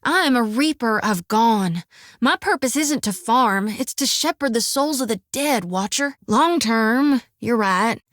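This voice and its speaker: A woman's voice: fairly high pitch, lively intonation, a little slow, fairly bright tone, dry recording, clean studio sound. Flustered young farm-girl priestess. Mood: resolute. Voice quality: folksy, earnest, flustered. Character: earnest young farm-raised woman priest, devout, stubborn, flustered in love. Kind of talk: video game voice acting. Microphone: dry studio mic.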